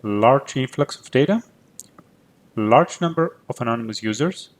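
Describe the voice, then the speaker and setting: A man from Cyprus, a native Greek speaker with a Greek Cypriot accent, giving a prepared conference talk in a clear, methodical way. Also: mid-range voice, expressive, normal speed. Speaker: conference presenter.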